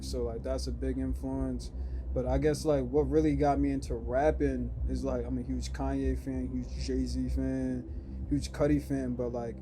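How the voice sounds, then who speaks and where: A young man from Massachusetts, a rapper guest chatting casually on a podcast and filling his talk with "like". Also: mid-range voice. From Springfield, Massachusetts. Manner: relaxed, laid-back, many filler "like"s.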